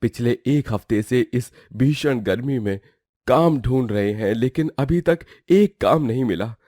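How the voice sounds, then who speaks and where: A man's voice: mid-range voice, expressive, a little slow, dark tone, no echo, clean studio sound. A man playing a humble, respectful village cook and devoted brother in a dramatized moral story. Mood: worried.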